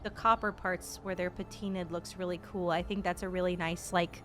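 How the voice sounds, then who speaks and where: A young woman streamer giving casual, playful and expressive reaction commentary on a game. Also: fairly low pitch, a little fast.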